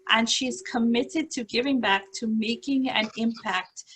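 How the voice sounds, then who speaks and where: A woman's voice: on the high side, unhurried, noisy background. A woman hosting an event, reading an upbeat, scripted introduction of a guest speaker over a video call. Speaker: event host.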